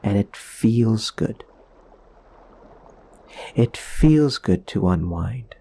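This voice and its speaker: A man leading a guided meditation in a soothing, gentle, explanatory way, leaving pauses between phrases. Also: lowish voice, animated, vocal fry, husky.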